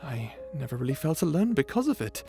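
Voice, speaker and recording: A man's voice: fairly high pitch, big pitch swings, a little fast, vocal fry, warm tone, soft background music. A man voicing a shy, polite dragon butler in an ASMR roleplay, hesitant and deferential, with formal speech. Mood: courteous, gently explaining. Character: shy polite old dragon butler, male, eager servant.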